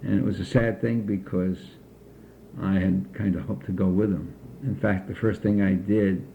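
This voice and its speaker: An older man from the United States, recalling his childhood slowly and carefully in an oral history interview. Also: low-pitched, fairly even intonation, gravelly, narrow, tinny audio, a little hiss or hum. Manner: slow, measured recollection with frequent pauses.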